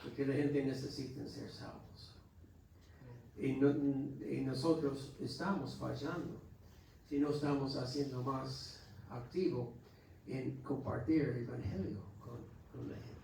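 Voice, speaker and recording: American pastor preaching in an echoey hall. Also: male voice, medium pitch, animated, vocal fry, darkish tone, a little hiss or hum. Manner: earnest, exhorting with halting restarts. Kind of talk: Sunday school teaching. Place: church hall. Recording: echoey church hall from far off.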